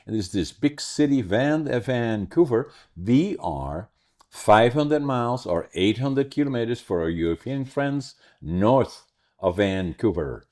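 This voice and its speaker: A man's voice: mid-range voice, expressive, measured pace, darkish tone. A man, hosting a podcast and telling stories in a rambling way. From Netherlands. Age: 80s. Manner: rambling, storytelling, self-correcting. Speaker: podcast host. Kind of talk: casual interview chat.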